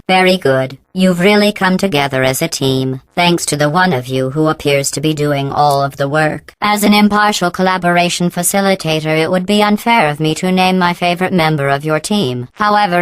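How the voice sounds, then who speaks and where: Low, smooth, condescending robotic AI. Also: female voice, dry recording. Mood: falsely polite. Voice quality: synthetic, robotic cadence. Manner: deadpan, condescending, falsely polite sarcasm. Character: passive-aggressive female artificial intelligence running lab tests. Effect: robotic pitch-corrected voice processing. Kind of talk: scripted video game voice acting.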